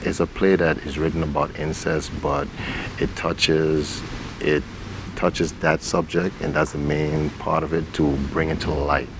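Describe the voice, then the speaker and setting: Very deep gravelly man, halting delivery. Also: little pitch movement. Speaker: stage play director and actor. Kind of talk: interview answers about a community stage play. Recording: noisy recording with rumbling ambience.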